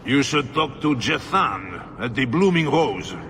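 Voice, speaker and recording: A man's voice: medium pitch, big pitch swings, unhurried, fairly bright tone, a little hiss or hum. A man playing a bitter, self-pitying nobleman husband in a video game, defensive and world-weary, sighing as he talks. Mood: resentful, weary. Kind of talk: dramatic video game dialogue.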